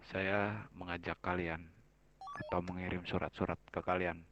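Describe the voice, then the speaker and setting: A man speaking formally in character in a roleplay game, slow and halting, sometimes sinking to a near whisper. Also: low voice, flat delivery, a bit bright, muffled recording. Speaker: roleplay company founder. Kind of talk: in-character roleplay speech over voice chat.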